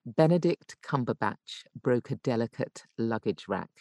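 A woman's voice: extremely low pitch, animated, measured pace, darkish tone, dry recording, clean studio sound. A woman giving tips as a podcast guest in casual, chatty and emphatic conversation. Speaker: podcast guest, voice actor and coach.

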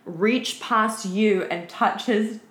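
A woman co-hosting a casual chat, speaking in a slangy, giggly, animated way. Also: medium pitch, slow. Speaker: podcast co-host. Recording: roomy, echoey room.

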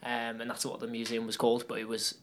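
A man's voice: medium pitch, completely flat delivery, fast. A young man with a Geordie accent, from Newcastle, chatting casually as an interview guest, with a rambling manner and lots of fillers. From Newcastle upon Tyne, England. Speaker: guest athlete.

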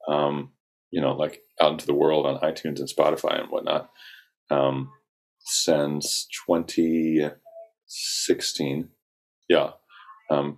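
A young man with a very low, rumbling voice talks loosely about his path as a singer-songwriter. He keeps an even, flat delivery and rambles with frequent ums and likes. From South Carolina, US. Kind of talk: casual interview talk.

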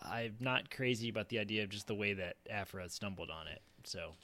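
A young man chatting casually as a podcast panelist, thinking aloud in an animated way. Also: on the low side, a little fast, dry recording. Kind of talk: casual chat.

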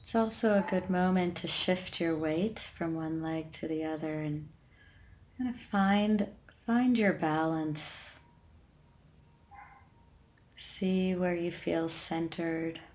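A woman leading a guided meditation in a calm, soothing, unhurried way, leaving long pauses between gentle instructions. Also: fairly low pitch. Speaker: meditation podcast host and guide.

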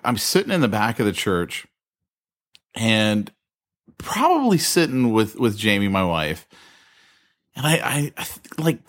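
A man co-hosting a podcast, telling stories in animated casual banter and laughing as he talks. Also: medium pitch. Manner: animated storyteller, laughs while talking. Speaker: podcast co-host.

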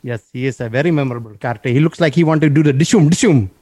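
A man's voice: mid-range voice, very fast, no room sound, lightly acted. A man doing a playful, heavy Indian accent as a joking persona in an interview. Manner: playful, deadpan jokes. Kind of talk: comic interview.

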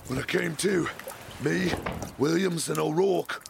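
A weary sailor in an audio drama, humble and halting in rustic speech. The voice is heavily fried and gravelly, with clear shipboard noise behind. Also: male voice, fairly high pitch. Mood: shaken. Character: weary, humble male pirate crewman.

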